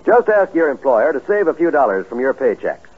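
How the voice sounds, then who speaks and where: A man's voice: mid-range voice, very animated, fairly quick, husky. A man reading scripted announcements as a 1950s radio announcer, in a polished, declamatory manner. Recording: tinny, narrow 1950s radio recording.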